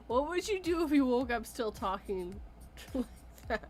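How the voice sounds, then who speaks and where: Slow-talking woman who laughs a lot. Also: a little high, big pitch swings, darkish tone, a little hiss or hum. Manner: laughs often while talking. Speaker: podcast guest. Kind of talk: casual chat.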